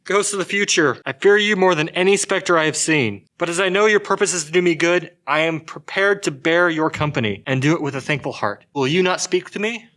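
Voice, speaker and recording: A repentant old miser, acted by a man, with a fairly high, bright voice. He is frightened, earnest and pleading, in the theatrical manner of an audio drama. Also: a little fast, hardly any pauses, lightly acted. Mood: fearful, resolute.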